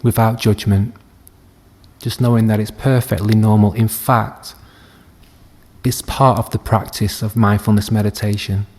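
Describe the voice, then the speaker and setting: Guy doing a guided body scan, really mellow dark voice, barely moves in pitch, gentle and soothing. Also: flat delivery. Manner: gentle, soothing, unhurried with long pauses. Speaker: meditation teacher.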